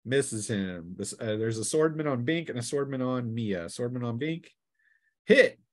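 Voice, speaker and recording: A man's voice: mid-range voice, animated, unhurried. A man running a tabletop role-playing session as game master in a casual, playful manner. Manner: playful, chuckling, acts out sound effects and shouts.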